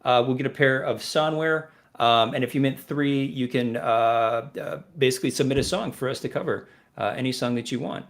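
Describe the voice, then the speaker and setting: A man's voice: medium pitch, moderate pace, few pauses. A man hosting a music discovery radio show, talking casually in a rambling, playful way with many fillers. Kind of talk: casual chat.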